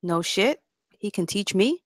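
A woman's voice: medium pitch, expressive, a little slow, dry recording, studio quality, natural voice. Woman playing a tough, brash young gang girl. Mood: impressed, curious. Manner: streetwise, slangy.

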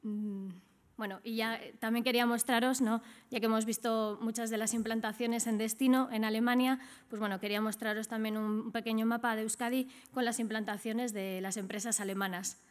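A woman's voice: on the high side, fairly even intonation, brisk. A middle-aged woman with an accent from Spain, giving a business presentation as a conference speaker in a conversational way, often checking in with short tag questions. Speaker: trade office director, conference speaker. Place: indoor conference stage at a lectern.

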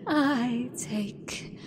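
A British woman voicing a hateful sentient AI god in a breathy, deliberate whisper for an ASMR horror roleplay. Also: high-pitched, background noise, heavily acted. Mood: gloating contempt. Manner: slow, deliberate, menacing, theatrical. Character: hateful sentient female AI god gloating over last human.

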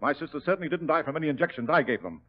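A slippery doctor villain in an old radio mystery. He speaks in blustering, animated swings of pitch, through a muffled vintage recording. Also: racing. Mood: defensive, flustered. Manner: blustering, hesitant, protesting. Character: smooth, evasive male doctor, villain under suspicion. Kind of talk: 1940s radio acting.

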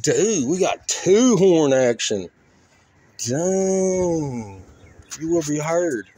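Higher-pitched man with folksy, colloquial talk. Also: sing-song, very slow, warm tone, dry recording. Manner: folksy, colloquial, exclaims to himself. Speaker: host of a car crushing vlog. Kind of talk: casual chat, rambling walk-around commentary. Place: outdoors in a junkyard. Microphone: handheld phone or camera, operator behind it. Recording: faint outdoor noise, handheld camera audio.

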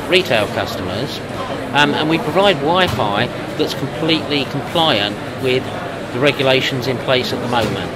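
A man in his fifties being interviewed at a trade show, explaining things in a measured, businesslike way. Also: mid-range voice, poor audio. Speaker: company representative being interviewed. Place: busy exhibition hall booth. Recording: loud trade-show crowd noise. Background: loud crowd hubbub.

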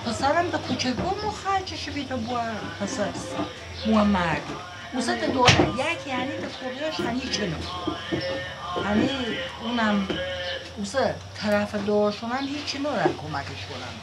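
A woman's voice: on the high side, over loud music. A woman baker speaking emphatically and with feeling while working in a village bakery. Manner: emphatic, animated, emotional. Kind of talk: casual chat. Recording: loud background noise.

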